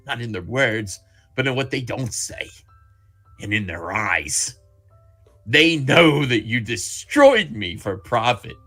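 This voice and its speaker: A man's voice: mid-range voice, fairly bright tone, slightly rough, voice pushed down, heavily acted. A man voicing a bitter, destitute, wronged writer, reading his angry letters aloud in a melodramatic, theatrical way. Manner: melodramatic, theatrical, swinging from outrage to near-whisper.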